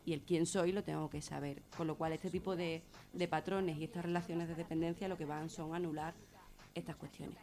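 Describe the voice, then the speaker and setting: A woman with a Spain accent and a fairly low voice talks in a radio discussion. She explains earnestly, circling back to rephrase, with music playing behind her. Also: flat delivery, fairly quick, a little mellow. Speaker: guest speaker on gender violence.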